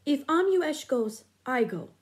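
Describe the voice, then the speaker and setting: A woman voicing a gentle, caring young female companion who speaks softly and a little higher than the narration, in a storybook reading. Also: sing-song, slow, full character voice. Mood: insistent. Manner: soft, gentle.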